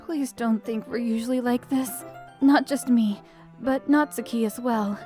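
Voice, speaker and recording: Woman as high-voiced, shy, anxious schoolgirl. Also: measured pace, warm tone, faint music behind, close to an everyday voice. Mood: apologetic. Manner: hesitant, stammering, polite. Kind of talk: anime visual novel fan dub acting.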